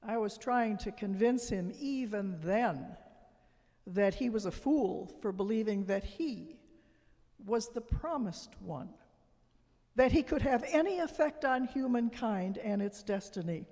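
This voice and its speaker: A church reader playing a scornful, relentless tempting spirit of doubt in a deliberate dramatic monologue. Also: medium pitch, expressive, a little mellow. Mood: brooding, scornful. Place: church sanctuary. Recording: reverberant church hall.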